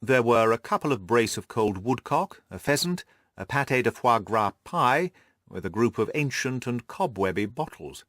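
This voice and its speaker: Expressive male audiobook narrator, dry recording. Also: mid-range voice, normal speed, no room sound. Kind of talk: scripted literary narration.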